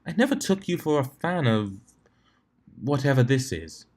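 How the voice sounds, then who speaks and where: A man's voice: medium pitch, very animated, moderate pace, darkish tone, voice pushed up. A man voicing a refined, dramatic female unicorn pony, fussy and polite, in an affected ladylike manner for a story reading. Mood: baffled, disapproving.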